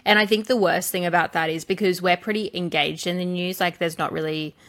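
A woman's voice: fairly low pitch, steady tone, fairly quick, fairly bright tone. A woman with an Australian accent co-hosting a podcast in casual chat, blunt and sweary. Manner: blunt, swears, laughs easily. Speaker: podcast co-host.

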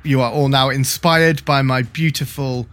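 A man's voice: mid-range voice, steady tone, moderate pace, a bit bright. A man playing a tabletop game, casually narrating what his character does. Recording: music playing under the voice.

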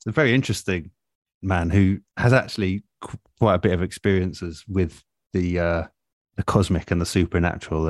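Man, deep voice, dry sound, casual storytelling, halting, self-deprecating, interview guest. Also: dry recording. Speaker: radio producer and interview guest. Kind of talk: casual anecdotal chat.

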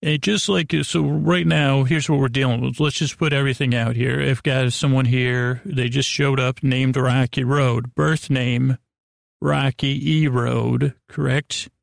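A man with a creaky voice hosting a bedtime podcast in a meandering, halting, improvised monologue with soothing intent. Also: medium pitch, fairly even intonation, no room sound, studio quality. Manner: meandering, halting, stumbles over words, self-interrupting; soothing, lulling. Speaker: podcast host.

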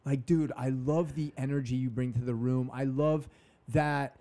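A man's voice: medium pitch, steady tone, moderate pace, mellow. A young man hosting a podcast, talking in a casual, upbeat and motivational way with some slang. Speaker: podcast host. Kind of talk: casual conversation.

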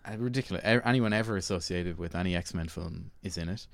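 A man's voice: sits low, fast talker, creaky, no echo, clean studio sound. A man with an Irish accent hosting a film discussion in a casual, conversational, hedging way. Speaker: podcast host.

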